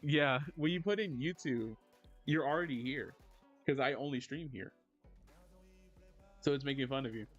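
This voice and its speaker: A man's voice: normal speed, long pauses, muffled recording, faint music behind. Rambling male streamer chatting while drawing. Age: 30s. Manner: rambling, many "like" fillers, lively jumps in pitch when reacting to chat. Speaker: streamer and digital artist. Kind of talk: casual livestream chat while drawing.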